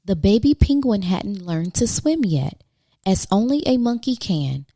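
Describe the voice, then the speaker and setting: A woman's voice: mid-range voice, sing-song, a little slow, dark tone, no room sound. A woman reading a children's picture book aloud as a storytime host, with dramatic questions and exclamations for young listeners.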